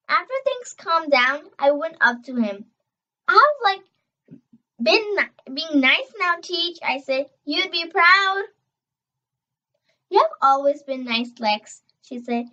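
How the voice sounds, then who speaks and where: High, bright young girl reading aloud. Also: lo-fi sound. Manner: expressive, emphatic on dialogue, stumbles over words. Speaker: reader. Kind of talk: reading a children's novel aloud.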